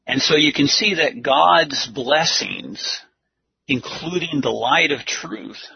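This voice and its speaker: A man preaching and teaching a Bible lesson, speaking in deliberate, earnest short phrases with a husky, gravelly voice. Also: on the high side, no room sound. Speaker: preacher leading a church lesson. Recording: muffled lo-fi audio.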